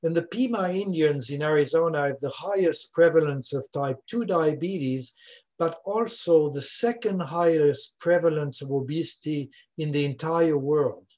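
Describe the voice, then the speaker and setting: An older man from Switzerland with a Swiss French accent, a scientist explaining his research in an interview, with many "uh" pauses. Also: on the high side, darkish tone. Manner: explanatory, frequent "uh" fillers. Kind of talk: conversational interview.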